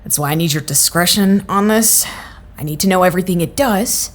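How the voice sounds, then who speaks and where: A woman playing an exasperated, no-nonsense supervisor in an audio drama, speaking in a dry, businesslike, sometimes halting way. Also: fairly low pitch, close to an everyday voice. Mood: serious. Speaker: voice actor in a fiction podcast.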